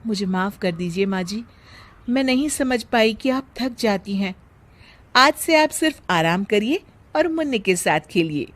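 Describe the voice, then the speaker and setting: A woman voicing a harried young working mother and daughter-in-law in a story, expressive and exasperated. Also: medium pitch, unhurried, close to an everyday voice. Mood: gentle, apologetic.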